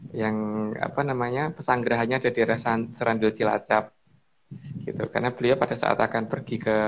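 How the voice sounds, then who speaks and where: A man of middle pitch with a gravelly, fry-tinged voice talks through old lore in loose, rambling fashion, stopping and restarting his sentences. The sound is dull and lo-fi, as if over an online call, with a faint hiss underneath. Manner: rambling, many fillers and restarts, addresses host politely. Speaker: guest speaker on Javanese lore. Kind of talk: casual explanatory talk.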